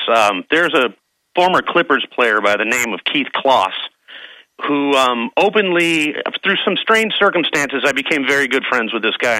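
Bright-voiced male caller. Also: mid-range voice, no room sound, low-quality recording. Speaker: phone-in caller. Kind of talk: conversational call-in anecdote.

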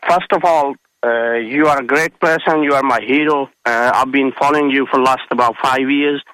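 Earnest Pakistani man, bright forceful voice. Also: mid-range voice, fairly even intonation, normal speed, no echo, rough recording. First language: not English. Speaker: phone-in caller. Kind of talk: earnest call-in remarks.

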